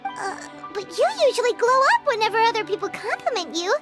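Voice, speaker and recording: A woman voicing a tiny childlike girl companion in a video game, with a squeaky, chirpy, concerned manner. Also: animated, fairly quick, music in the background. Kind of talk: cartoonish video game voice acting.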